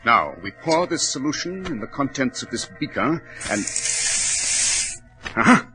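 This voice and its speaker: An actor playing a grave, intense detective, dramatic and deliberate, in 1940s radio drama style. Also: male voice, medium pitch, sing-song, rough recording. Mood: intent. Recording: old radio broadcast, music behind.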